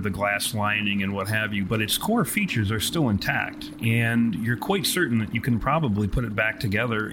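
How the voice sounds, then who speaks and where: A man narrating as a tabletop game master, with erudite, wordy and deliberate phrasing. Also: mid-range voice, noisy background.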